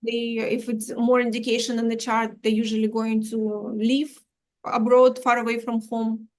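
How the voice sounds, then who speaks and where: A woman from Ukraine, explaining astrology as an interview guest, halting, with many restarts and dropped articles. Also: fairly high pitch, flat delivery.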